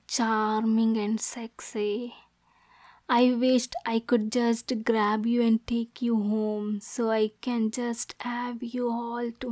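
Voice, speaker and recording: High-voiced possessive yandere girlfriend, acted by a woman. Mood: possessive, softly menacing. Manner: swings between soft cooing and angry, degrading outbursts. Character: possessive, jealous yandere girlfriend, menacing yet cooing. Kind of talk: ASMR roleplay, dramatic monologue.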